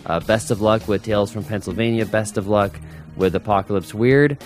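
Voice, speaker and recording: A man's voice: on the low side, normal speed, faint music behind. A male podcast host chatting casually with guest writers, joking at his own expense and repeating words as he talks. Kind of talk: casual interview chat.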